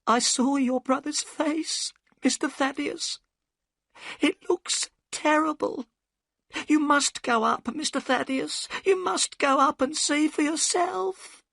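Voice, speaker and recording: A reader voicing a tall, frightened old housekeeper woman who pleads in a high, trembling voice, in a storybook reading. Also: slow pace, creaky, a bit bright, no echo, full character voice. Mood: pleading. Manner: high-pitched, pleading, tearful.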